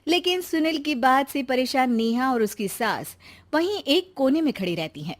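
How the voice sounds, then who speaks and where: A woman narrates a scripted cartoon story. Her voice is fairly high, with animated swings in pitch. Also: normal speed.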